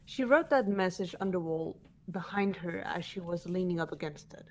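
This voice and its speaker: A woman's voice: fairly low pitch, lively intonation, moderate pace, darkish tone, lightly acted. A woman voicing a composed, incisive female student who explains evidence methodically in an amateur game dub. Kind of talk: amateur voice acting in a visual novel playthrough.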